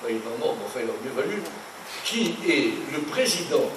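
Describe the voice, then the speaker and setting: A man's voice: mid-range voice, a little fast. An older man from France, a painter, giving a rambling, indignant talk to an audience, full of asides and anecdotes. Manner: animated, indignant, digressive storyteller. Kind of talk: rambling lecture. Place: conference hall. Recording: echoey room, distant mic, noisy.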